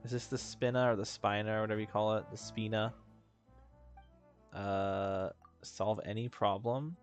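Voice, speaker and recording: A man's voice: on the low side, steady tone, normal speed. A man streaming a video game, chatting casually and reading dialogue aloud with mumbly asides and stumbles. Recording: background game music.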